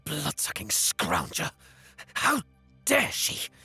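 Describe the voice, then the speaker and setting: A man's voice: a little high, huge pitch swings, slow pace, sharp tone, husky, faint music behind, heavily acted. A man playing a bitter, scheming young alien prince in an audio drama, with theatrical, disdainful delivery that savors cruelty. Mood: furious. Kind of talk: dramatic first-person narration and dialogue, audio drama.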